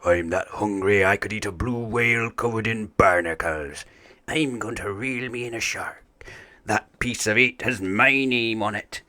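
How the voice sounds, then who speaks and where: Pirate deckhand for a storybook, voiced by a man: keen, hungry, salty talk, bright edge. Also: lowish voice, a little slow. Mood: boastful. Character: eager, hungry male pirate crewmen.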